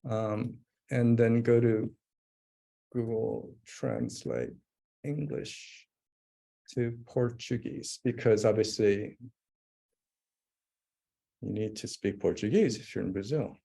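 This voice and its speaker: A man's voice: on the low side, lively intonation, takes it slowly, gravelly, pauses often, warm tone. A man presenting a live webinar demo, thinking aloud as he types, with frequent fillers and restarts. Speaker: presenter demonstrating software.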